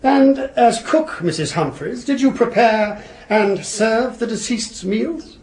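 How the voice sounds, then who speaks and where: An actor playing a formal, precise prosecuting barrister who questions witnesses pointedly in a radio courtroom drama. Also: male voice, very high-pitched, animated, takes it slowly, a little mellow, exaggerated voice.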